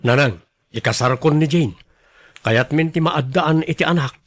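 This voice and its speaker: A man's voice: on the high side, normal speed, a bit bright. A man playing an earnest, devoted young son in a radio drama, respectful and pleading with his mother. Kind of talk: Ilocano radio drama acting.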